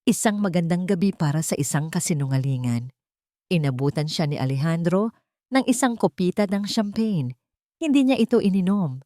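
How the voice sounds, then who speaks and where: A woman's voice: fairly low pitch, expressive, moderate pace, dark tone, no echo, studio quality. A woman narrating a dramatic scripted story.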